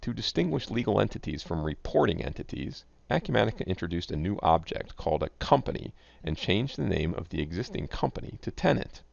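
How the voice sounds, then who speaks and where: A man giving scripted, instructional narration as a software tutorial presenter, reading in a measured, enunciated way. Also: lowish voice, sing-song, gravelly, no room sound. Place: close, dry voice-over recording. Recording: dull, lo-fi close voice-over.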